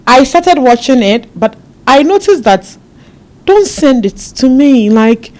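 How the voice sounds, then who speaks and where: A woman's voice: high voice, big pitch swings, slow, a little mellow. A woman, a panel guest speaking earnestly and pleading with repetition. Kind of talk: panel discussion.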